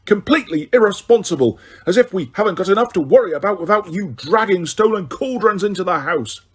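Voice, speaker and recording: Man doing an enraged mum for a storybook, high, loud and scolding. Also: expressive, brisk, breathy edge. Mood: furious. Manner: shouting, scolding. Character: angry mother shouting at full volume.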